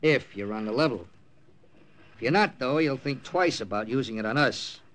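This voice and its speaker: A man's voice: medium pitch, expressive, moderate pace, close to an everyday voice. A man acting a wary, distrustful American captain and secret agent in an old radio war drama, with clipped hard-boiled delivery. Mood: coldly threatening. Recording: old radio broadcast with loud music.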